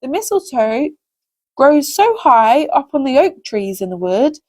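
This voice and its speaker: A woman's voice: high voice, big pitch swings, measured pace, darkish tone, exaggerated voice. A woman voicing a kindly, knowing pixie aunt who gives patient advice in a children's storybook. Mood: patient, advising. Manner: patient, advising.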